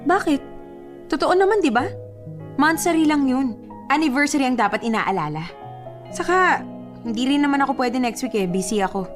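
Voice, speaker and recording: A woman's voice: high-pitched, lively intonation, normal speed, pauses often. A woman playing a fed-up, defiant young girlfriend in a radio drama, dismissive and exasperated as she argues. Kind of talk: Filipino radio drama acting. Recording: music bed under the voice.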